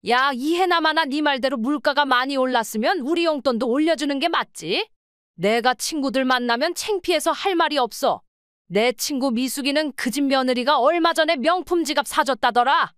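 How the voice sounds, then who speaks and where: A woman's voice: high voice, normal speed, a bit bright, no room sound, clean studio sound. A woman playing a greedy, nagging older mother-in-law in a radio drama, in a reproachful, demanding manner.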